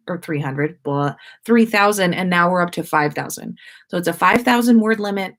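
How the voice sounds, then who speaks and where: A woman hosting a story-time livestream, speaking in an expressive, informal way as she reads and chats. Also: fairly low pitch. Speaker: host of a fan fiction story-time livestream. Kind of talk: expressive story reading and casual livestream chat.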